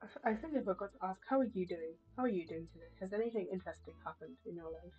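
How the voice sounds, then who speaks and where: A woman's voice: on the low side, quick pace, highs cut off, soft background music. A British woman chatting casually on a live stream, polite and musing as she talks.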